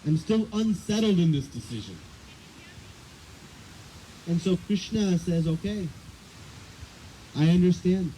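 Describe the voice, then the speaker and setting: A man's voice: high voice, expressive, takes it slowly, pauses often, rough recording. A man giving a scripture class outdoors on a battery microphone, talking conversationally and asking his listeners questions. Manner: conversational, asks the audience questions. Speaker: spiritual teacher. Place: outdoors, windy. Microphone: battery microphone, cutting in and out. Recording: wind and outdoor noise, loud.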